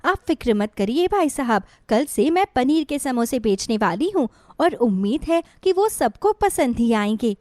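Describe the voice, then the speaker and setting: An earnest, poor but resilient village mother, acted by a woman. Her fairly high voice is recorded dry, with a reassuring storybook delivery. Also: sing-song, unhurried, few pauses, a little mellow, no room sound. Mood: hopeful. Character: hardworking, hopeful, forgiving poor village mother. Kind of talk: storybook dialogue.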